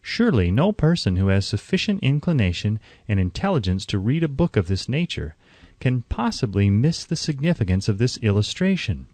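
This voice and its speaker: A man reading scripted audiobook narration in a formal, measured and emphatic way. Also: medium pitch, darkish tone, dry recording.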